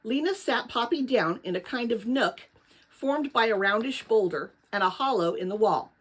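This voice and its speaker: A woman reading a children's novel aloud as narrator, with dramatic, expressive storytelling. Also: fairly high pitch, moderate pace, rough recording.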